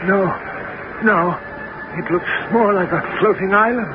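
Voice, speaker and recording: Nervous loyal shipmate, high voice, very thin phone-like old recording. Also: male voice, measured pace. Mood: anxious. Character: anxious loyal male companion sailor. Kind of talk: 1970s radio drama acting. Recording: thin, phone-like old broadcast with loud hiss.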